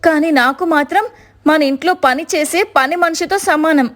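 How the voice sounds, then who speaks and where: A woman voicing a spiteful, quarrelsome young sister-in-law in a moral story, with sharp, sarcastic scolding. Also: sits high, normal speed. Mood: angry scorn. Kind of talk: dramatized moral story dialogue.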